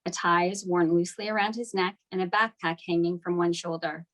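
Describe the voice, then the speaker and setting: A woman's voice: lowish voice, steady tone, a little fast, a bit bright. A woman narrating scripted stage directions at a table read of a screenplay.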